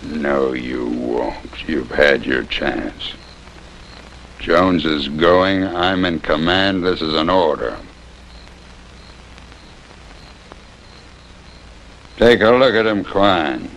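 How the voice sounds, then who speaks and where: A gravelly, very deep bitter army captain. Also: male voice, a bit bright, a little hiss or hum, exaggerated voice, rough recording. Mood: stern. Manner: sardonic, commanding, deliberate. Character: bitter, crippled army captain, ruthless and sneering. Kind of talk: 1950s television western acting.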